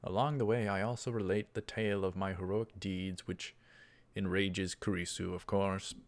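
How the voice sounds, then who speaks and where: Low, creaky-voiced man narrating a game. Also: flat delivery, moderate pace. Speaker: let's play host. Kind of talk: reading visual novel text aloud with casual commentary.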